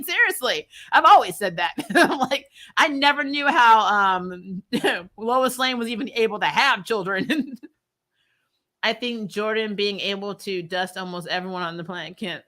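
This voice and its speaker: A woman hosting a casual fan livestream, talking in an animated, blunt, jokey way with some swearing. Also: mid-range voice. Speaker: show host reviewing superhero TV and reading live comments. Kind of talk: casual livestream chat, rambling fan commentary.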